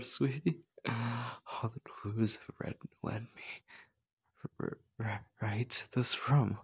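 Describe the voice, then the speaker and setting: A man playing an obsessive, possessive stalker lover in a horror ASMR roleplay, halting and breathy, clingy and menacing. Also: on the low side, full character voice. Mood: sinister, teasing. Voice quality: husky, breathy. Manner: slow, halting, slipping between breathy whispers and urgent pleading. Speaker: voice actor.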